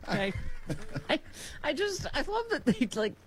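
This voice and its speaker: An older woman hosting a talk show, chatting casually about politics with a husky voice, just over a cold, stammering through restarts. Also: measured pace, a little hiss or hum. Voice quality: husky, low voice. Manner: lively, stammering restarts, laughs easily. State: recovering from a cold. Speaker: radio talk show host.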